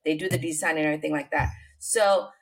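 A middle-aged woman from Indonesia with an Indonesian accent, telling her life story as an interview guest, unhurried and full of fillers. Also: deep voice, steady tone. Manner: unhurried, many fillers and restarts, non-native grammar.

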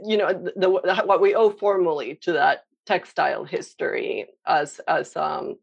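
A woman's voice: lowish voice, unhurried, gravelly, breathy edge, muffled recording. A young adult woman from Colombia, a painter answering interview questions in a thoughtful way, with many fillers and restarts. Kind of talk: reflective conversational interview.

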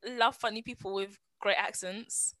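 A woman, co-hosting a podcast in casual, slangy chat full of animated storytelling and quoted asides. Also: mid-range voice, normal speed, dry recording, studio quality. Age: 20s. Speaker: podcast co-host.

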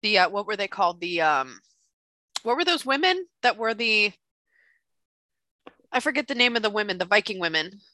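A young woman co-hosting a casual opera podcast, animated and self-mocking, talking into a laptop on a call. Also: moderate pace, long pauses, dry recording. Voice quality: deep for a woman. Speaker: podcast co-host. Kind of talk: casual chat about opera. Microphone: computer mic on video call.

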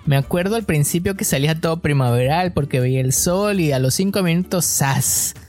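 A man with a Mexican coastal accent co-hosting a podcast, chatting casually and telling stories in a relaxed, slangy way. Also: on the high side, brisk, faint music behind. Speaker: podcast co-host.

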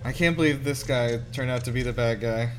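A man's voice: medium pitch, brisk, noisy background. A man reacting casually to a film in an incredulous, questioning manner. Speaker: host of a movie reaction show. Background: film soundtrack playing behind.

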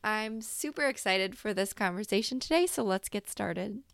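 A woman's voice: mid-range voice, animated, moderate pace, creaky, fairly bright tone, no room sound, clean studio sound. A woman hosting a singing podcast, reading an upbeat intro and then interviewing a guest. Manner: upbeat, enthusiastic. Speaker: podcast host.

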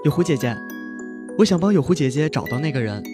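A woman playing an eager, innocent and polite young boy in a fantasy audio drama, earnest and lively. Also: low voice, quick pace, over music.

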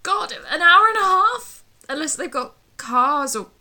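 A woman's voice: very high-pitched, lively intonation, unhurried, pushed and bright, full character voice. A woman playing a frightened, exasperated young daughter in an audio drama. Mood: anxious, dry. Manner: exasperated, sweary.

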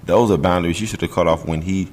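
A man's voice: low voice, steady tone, quick pace, a little mellow. A man co-hosting a podcast, giving blunt, slangy relationship advice. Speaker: podcast co-host. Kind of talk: casual chat.

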